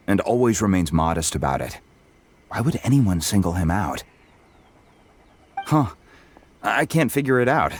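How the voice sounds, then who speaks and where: Low, courteous male greeter. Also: a little hiss or hum. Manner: polite, puzzled. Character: courteous, loyal, puzzled male commission greeter. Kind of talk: video game voice acting.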